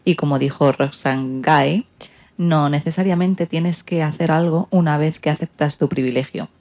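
A woman's voice: sits low, moderate pace, darkish tone. A woman hosting a radio programme, presenting from a script. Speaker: radio show host.

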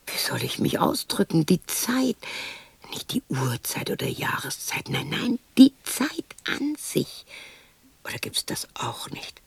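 An actress playing a lonely, bitter widow of sixty in a radio drama monologue, rambling to herself in agitated mutters and sudden outbursts, breathy and husky. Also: female voice, lowish voice, sing-song, measured pace, no room sound, heavily acted. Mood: wistful, rambling. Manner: agitated muttering, swinging between whispers and outbursts.

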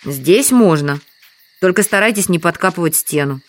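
A woman's voice: fairly low pitch, expressive, moderate pace, natural voice. An actress playing a humble, trusting village woman in an audio drama, explaining things simply. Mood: matter-of-fact.

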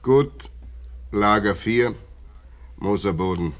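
An actor playing a brusque, weary hiring clerk in a radio play, curt, clipped and officious. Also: male voice, fairly low pitch, slow.

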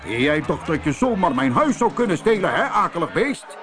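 A man's voice: a little high, very animated, normal speed, crowd noise, pitched above the performer's own voice. A man voicing an exuberant, hot-headed toad who blusters and shrieks in a children's storybook. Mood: indignant, gloating.

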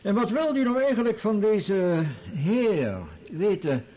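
A man's voice: high-pitched, expressive, slow pace, mellow, heavily acted. A man playing a sceptical, authoritative judge in a radio courtroom drama, interjecting formally with a drawl. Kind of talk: radio play courtroom acting.

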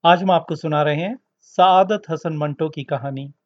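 A man's voice: a little high, a little slow. A man reading a scripted introduction as the host of a story podcast. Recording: dull lo-fi recording.

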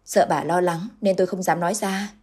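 A woman voicing a gruff, worried village husband who snaps and then speaks gravely. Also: steady tone, a little fast, a little mellow. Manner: curt, barking, then grave and low.

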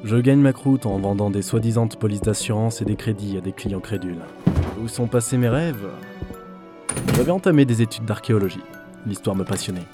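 A man's voice: fairly low pitch, fairly quick, over music, lightly acted. A man playing a disillusioned office worker who broods and mutters to himself in a French audio drama. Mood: weary regret. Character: weary, disillusioned male office worker, former gamer.

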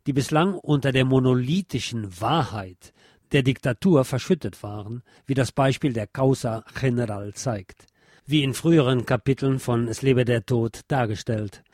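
A man reading a nonfiction text aloud as a podcast host, in a formal, measured, factual manner. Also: mid-range voice, a little mellow, dry recording, clean studio sound.

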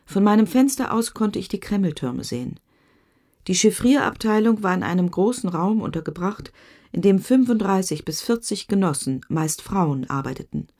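A woman playing a German communist cipher clerk giving sober, measured testimony in a radio documentary drama. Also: medium pitch, animated, a little mellow. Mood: reflective, factual.

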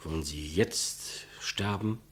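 Very husky, humble executioner. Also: male voice, very deep voice, slow, exaggerated voice. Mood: quiet, patient. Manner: subdued, deferential, plain. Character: humble, plodding, matter-of-fact male state executioner. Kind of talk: 1950s German radio play acting.

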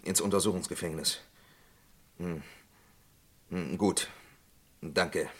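An actor playing an accused lab worker in a vintage radio crime drama, formal, hesitant and protesting. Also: male voice, low-pitched, slow, fairly bright tone. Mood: indignant, shaken. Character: accused male lab worker, defensive and evasive. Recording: roomy old radio play recording.

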